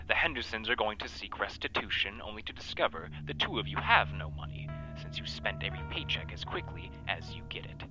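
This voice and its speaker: A man playing a solemn, threatening coven enforcer in a horror audio drama, speaking formally and deliberately. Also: on the low side, sharp tone, rough recording. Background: background music.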